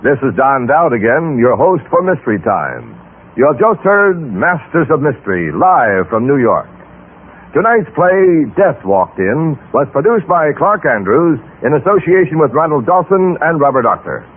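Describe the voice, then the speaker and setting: Husky, animated vintage radio announcer, male. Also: on the high side, normal speed. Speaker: radio show host and announcer. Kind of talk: old-time radio announcing, scripted. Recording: thin, narrow-band old radio recording.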